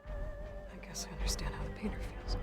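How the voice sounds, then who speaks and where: An actress playing a practical young game heroine, direct and questioning, with little patience for fine things. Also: female voice, extremely high pitch, wildly swooping pitch, moderate pace, over loud music, full character voice. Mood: skeptical. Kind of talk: video game dialogue.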